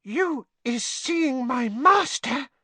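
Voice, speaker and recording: Shrill, squeaky, tearful female house-elf. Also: expressive, unhurried, sharp tone, dry recording, exaggerated voice. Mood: breathless, tearful. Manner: third-person broken grammar, wailing.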